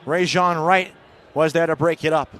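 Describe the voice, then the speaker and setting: A man with a fairly high-pitched voice gives live radio play-by-play of college football. He describes the action in short, clipped phrases. His delivery is built to rise with the big plays. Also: fairly even intonation, moderate pace, a bit bright.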